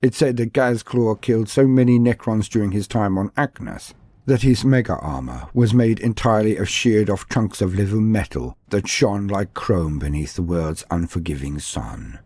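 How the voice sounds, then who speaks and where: A man's voice: fairly low pitch, lively intonation, moderate pace, a little mellow, dry recording. A man narrating fictional war history as a scripted, documentary-style lore storyteller.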